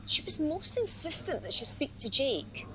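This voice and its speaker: A woman's voice: high-pitched, sing-song, normal speed, fairly bright tone, poor audio. A woman playing a polite, hesitant and rather nosy helpline volunteer in a radio play, with an apologetic manner. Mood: hesitant, probing. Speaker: helpline phone operator.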